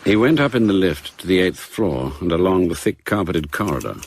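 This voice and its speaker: A British man delivers scripted dramatic narration in an extremely deep voice. There is faint noise behind him. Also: sing-song, a little fast.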